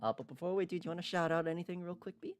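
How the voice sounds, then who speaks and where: A streamer hosting a casual livestream, talking in a halting, rambling way. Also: mid-range voice, smooth, dry recording. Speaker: streamer, host.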